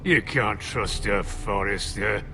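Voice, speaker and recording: An actor playing a bitter, aggrieved lord in a fantasy game, with a gravelly, cutting voice. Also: male voice, fairly low pitch, slow pace, sharp tone, slight background noise, exaggerated voice. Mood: resentful. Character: bitter, aggrieved rival lord, gruff and spiteful. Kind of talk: dramatic fantasy game acting.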